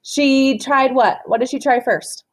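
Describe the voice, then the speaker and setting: A woman doing an interactive picture-book read-aloud for children, expressive and often asking questions. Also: high voice. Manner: expressive, asks questions and pauses for answers. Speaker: storytime reader, teacher.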